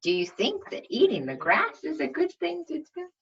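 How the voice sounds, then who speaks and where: A woman reading a storybook aloud to young children as their teacher, expressive and simply phrased, pausing to ask them questions. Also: sits high, gravelly, highs cut off, a little hiss or hum.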